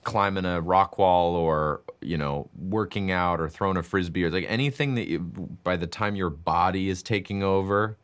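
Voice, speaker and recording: A young man in his twenties chatting in an interview about making music, talking loudly and animatedly with lots of "like" fillers. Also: low-pitched, smooth. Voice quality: loud voice. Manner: animated, talks in quick bursts with many "like" fillers. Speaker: guest musician and composer. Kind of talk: casual interview chat.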